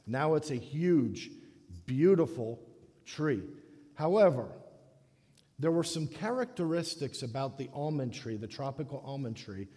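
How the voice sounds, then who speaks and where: A man from the Dominican Republic preaching a sermon in a church, halting often and restarting his phrases. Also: medium pitch, lively intonation, warm tone. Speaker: guest preacher. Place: church sanctuary. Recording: echoey church hall.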